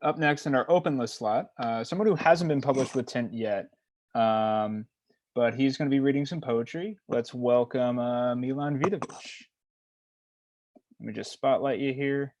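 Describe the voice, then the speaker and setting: A man hosting an online open mic reading, introducing the next reader in a relaxed way with lots of fillers. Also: mid-range voice, moderate pace.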